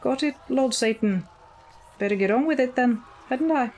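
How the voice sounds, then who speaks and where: A woman's voice: sits high, normal speed, a little mellow, slight background noise, pitched above the performer's own voice, lightly acted. A woman voicing an irritable, sarcastic male demon in an audio story, with a grumbling, sweary drawl over guitar music. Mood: nervous, obliging.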